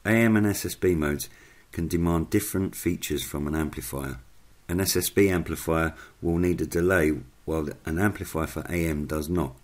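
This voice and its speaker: A man reading a scripted explainer narration in a measured, instructional way. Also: deep voice.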